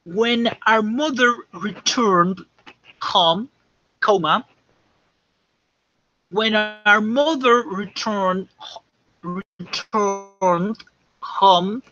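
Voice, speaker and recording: A man teaching English, dictating slowly and checking often that students understand. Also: high voice, sharp tone. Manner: slow and repetitive, checking understanding. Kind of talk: classroom dictation lesson. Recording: dull lo-fi classroom recording.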